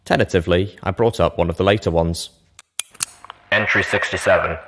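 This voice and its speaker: A man with a low, deep voice reads scripted fiction in the first person. The narration is measured and expressive, like a storyteller hosting a reading show. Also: a little hiss or hum.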